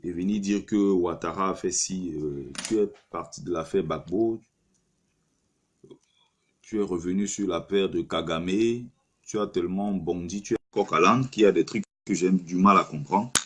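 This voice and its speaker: Husky low-voiced man arguing on a livestream. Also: normal speed. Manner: argumentative, rhetorical, addresses listener directly. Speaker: livestream host taking callers. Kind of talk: opinionated live-stream commentary.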